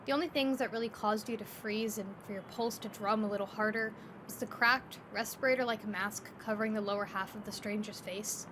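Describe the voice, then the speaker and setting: A woman narrating a scripted second-person story in an expressive, descriptive storytelling manner. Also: medium pitch, fairly quick. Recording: faint background ambience noise.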